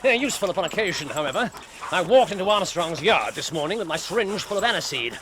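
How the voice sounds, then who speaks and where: Keen-minded male detective, radio drama, theatrical and urgent, highly varied pitch, clear background noise. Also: fast. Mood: eager, animated. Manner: animated, urgent, theatrical delivery. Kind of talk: period radio drama acting.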